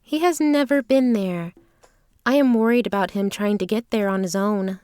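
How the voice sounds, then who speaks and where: A woman's voice: medium pitch, normal speed, darkish tone, clean tone, close to an everyday voice. A woman playing a sweet, trusting but determined traveling performer in a fantasy audio drama. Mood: concerned, determined.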